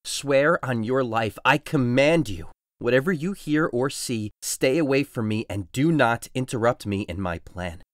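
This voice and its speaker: A man playing a desperate, grief-stricken young husband in a dramatic audio drama, intense and swinging between hushed menace and anguish. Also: medium pitch, lively intonation, a little slow, no echo, clean studio sound, close to an everyday voice. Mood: commanding, menacing. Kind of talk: dramatic audio drama acting, modernized Shakespeare.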